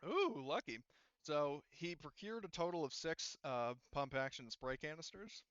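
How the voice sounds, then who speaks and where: Guy running a tabletop rpg, thinks out loud, smooth voice, kinda lo-fi audio. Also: on the high side, animated, no room sound. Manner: halting, casual, thinking aloud. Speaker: game master. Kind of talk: narrating and running a tabletop roleplaying game.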